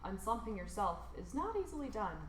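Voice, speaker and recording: A woman reads a rhyming children's picture book aloud expressively, with theatrical emphasis and drawn-out words. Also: mid-range voice, big pitch swings, echoey room, slight background noise. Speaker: storybook reader.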